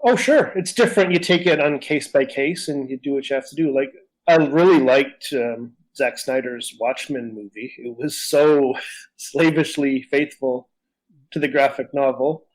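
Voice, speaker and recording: A man's voice: mid-range voice, moderate pace, poor audio. A man co-hosting a podcast, talking casually in a rambling, opinionated way. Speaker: podcast co-host. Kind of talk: casual conversational discussion.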